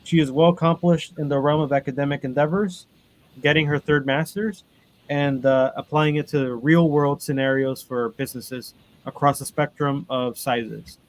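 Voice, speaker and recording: A man's voice: on the high side, steady tone, moderate pace, poor audio. A man hosting a webinar panel, reading out guest introductions over a video call with frequent ums and uhs. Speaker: panel moderator.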